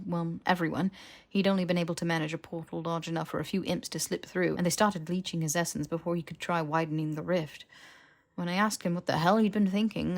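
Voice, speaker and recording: A woman voicing a stubbornly earnest young man in an audiobook, wry, halting and self-justifying. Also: fairly low pitch, lightly acted. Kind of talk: dramatized audiobook dialogue.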